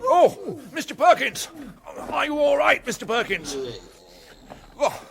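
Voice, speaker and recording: An actor playing a courteous, fussy, easily flustered English official in a radio comedy, hesitant and polite. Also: male voice, extremely high pitch, big pitch swings, measured pace, gravelly, heavy background noise, full character voice. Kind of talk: British radio comedy acting.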